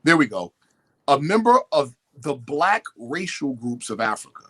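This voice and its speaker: A man's voice: fairly high pitch, a little slow, breathy edge. A man speaking as a guest on a talk show, lecturing emphatically and mimicking other people. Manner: animated, emphatic, quotes definitions and mimics others.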